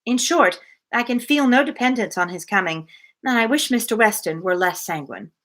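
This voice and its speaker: Woman reading as a bright-voiced, anxious married gentlewoman. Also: on the high side, expressive, moderate pace. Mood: apprehensive.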